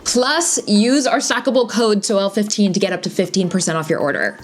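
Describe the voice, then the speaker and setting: A young woman co-hosting a casual chat, telling stories in an animated, gossipy way. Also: mid-range voice, normal speed, faint music behind. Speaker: co-host.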